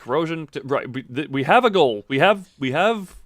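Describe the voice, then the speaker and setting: A man's voice: a little high, expressive, normal speed, no echo. A man giving casual live video game commentary, thinking aloud in a rambling, self-interrupting way with playful, self-deprecating wordplay.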